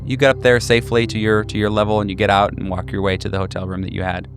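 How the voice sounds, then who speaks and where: A man narrating a tabletop game as game master, improvising in a conversational, halting way. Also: fairly low pitch, level tone, soft background music.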